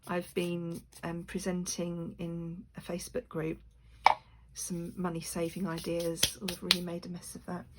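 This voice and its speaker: A woman with a croaky voice chatting casually to her channel while making craft pieces, rambling with lots of fillers. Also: on the low side, monotone, unhurried. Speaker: crafting video maker. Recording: noisy room with clicks.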